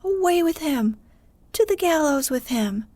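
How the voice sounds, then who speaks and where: An accusing villager from an angry mob , acted by a woman narrator. The storybook voice jumps high as it cries out, yet sounds dry and close. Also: animated, a little slow, dry recording. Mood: alarmed, accusing. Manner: shouting out accusations. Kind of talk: storybook character voice.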